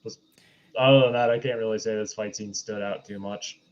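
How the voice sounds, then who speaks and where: A man's voice: on the low side, steady tone, fairly quick. Man with dull, lo-fi microphone sound. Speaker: podcast co-host. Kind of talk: casual film commentary.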